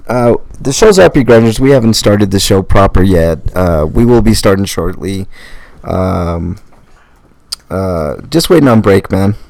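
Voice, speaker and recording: A man hosting a live podcast, talking casually with a hoarse voice in a rambling, blunt way, full of fillers. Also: deep voice, a little mellow, no room sound. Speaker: podcast host. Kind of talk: casual live-stream chat.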